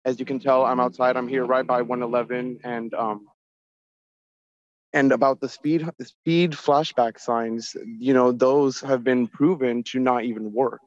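A man's voice: medium pitch, steady tone, a little slow. A man giving informal public comment over a remote call from outdoors, speaking in an earnest, insistent way. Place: outdoors near a highway. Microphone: remote call into meeting. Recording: dull, roomy remote-call audio.

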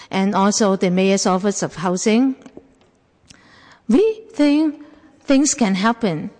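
Slow-speaking woman in an echoey hall. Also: mid-range voice, a little mellow. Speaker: translator and housing advocate at a public hearing. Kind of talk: public comment speech. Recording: echoey public hall, long reverb.

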